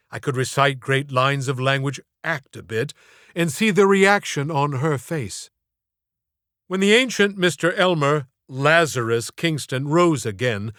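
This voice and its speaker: A man narrates a literary memoir in a slightly high voice. He takes his time, shaping florid phrases with deliberate, theatrical care. The sound is dry, free of any room. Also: a bit bright, no room sound. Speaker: author reading own audiobook. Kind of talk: scripted first-person literary narration.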